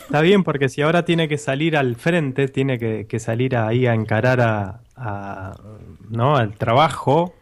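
A man's voice: medium pitch, measured pace. A man co-hosting a casual chat, speaking hesitantly with repeated words and restarts. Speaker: podcast co-host.

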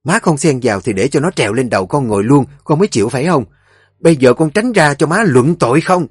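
A man voicing a stern old mistress of a household who barks orders in a shrill, commanding tone. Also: very animated, fairly quick, no echo, clean studio sound. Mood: scolding.

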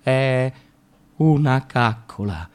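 Guy doing a radio theatre reading, dry and sardonic, voice at a middling pitch. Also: expressive, very slow, a little mellow. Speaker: radio drama reader. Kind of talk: theatrical reading of a comic monologue.

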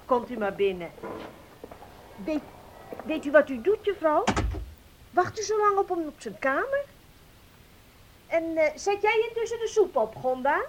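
A chatty middle-aged mother with a high, lively voice. She is curious and hospitable, in a radio drama recording with slight noise. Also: a little slow, pauses often. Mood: curious, welcoming. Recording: noisy old radio play recording.

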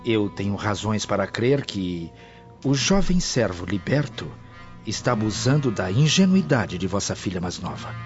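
An actor playing an insincere, scheming old head servant in a radio soap, deferential and insinuating. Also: male voice, lowish voice, big pitch swings, normal speed. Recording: dull lo-fi, music behind.

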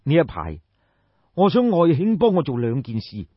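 A man voicing a pompous, husky ancient king. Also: a little high, huge pitch swings, moderate pace, dry recording, muffled recording, full character voice. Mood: grave, commanding. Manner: pompous, rhetorical, sighing and explaining at length. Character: shrewd, commanding ancient king lecturing his court. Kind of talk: dramatised audiobook storytelling.

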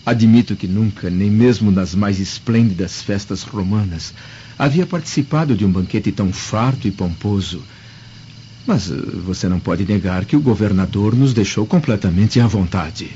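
Man playing a mellow, dignified Roman husband. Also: lowish voice, moderate pace, slight background noise, natural voice. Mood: tender. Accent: Brazilian. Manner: formal, gentle. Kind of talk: radio drama acting. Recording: dull lo-fi audio, faint music.

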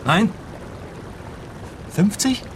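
Whiny rookie detective, noisy background. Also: male voice, very slow. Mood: whiny, haggling. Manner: whiny, haggling, self-important. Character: inept, broke male rookie private detective, boastful yet pleading. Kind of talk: comic radio play acting. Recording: clearly noisy outdoor scene ambience.

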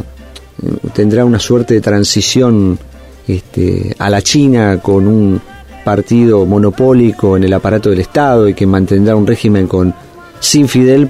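A man speaks as an analyst guest on a radio interview. He thinks aloud, hedging and padding with fillers. His voice is low and mellow, with faint music far behind. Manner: thoughtful, hedging, with many fillers.

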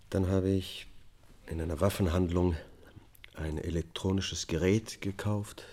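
An actor playing a weary accused man in a radio drama, confessing in a halting, controlled way. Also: low-pitched, a little mellow. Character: male accused family killer, a weary confessing double-life husband. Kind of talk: radio drama acting, first-person testimony.

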